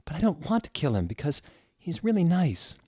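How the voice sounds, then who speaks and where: A man's voice: a little high, huge pitch swings, a little fast, warm tone, breathy edge, no echo, clean studio sound, pitched above the performer's own voice, heavily acted. A man voicing a melodramatic, foul-mouthed goth teenage girl, angry and overwrought, in a comic story reading. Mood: angry, distraught.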